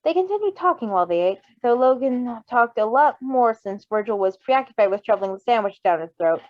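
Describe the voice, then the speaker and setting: A woman's voice: on the high side, lively intonation, fairly quick, dry recording, highs cut off. A woman reading fan fiction aloud and chatting about it casually as a co-host. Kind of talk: story reading, then casual excited chat.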